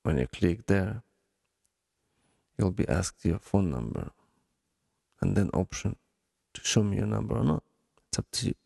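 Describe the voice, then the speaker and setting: A man with a Ukrainian accent hosting a solo podcast, speaking in a deliberate, halting way with pauses. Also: deep voice, animated, creaky, warm tone, dry recording, studio quality. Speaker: podcast host.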